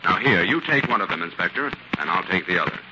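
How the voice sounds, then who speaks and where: Confident sleuth, noisy old radio. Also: male voice, deep voice, lively intonation, quick pace. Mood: assured. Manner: assured, explanatory. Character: confident, steady male scientist sleuth. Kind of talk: 1940s radio drama acting. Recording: noisy muffled 1940s radio recording.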